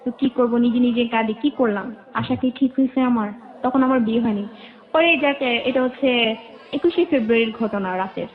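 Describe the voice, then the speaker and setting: A Bangladeshi woman recounts her own life as a guest, in a voice on the high side. She narrates in detail and quotes remembered conversations. The recording is thin and muffled, like a telephone. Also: normal speed. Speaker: interview guest. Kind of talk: personal life storytelling. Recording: muffled, narrow phone-like band.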